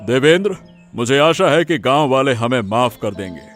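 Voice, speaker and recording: A man voicing a repentant middle-aged village headman in a cartoon, speaking in a deliberate, formal way. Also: mid-range voice, expressive, fairly bright tone, faint music behind, natural voice. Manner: deliberate, formal and addressing a crowd. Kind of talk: Hindi cartoon dubbing.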